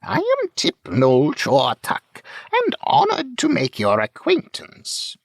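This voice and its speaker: High, swooping courtly bird bard. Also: male voice, takes it slowly, no room sound, studio quality, heavily acted. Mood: courtly, flattering. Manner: florid, formal, flattering courtly speech. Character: avian bard, male, courtly flattering smooth-talker. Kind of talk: fantasy audiobook character acting.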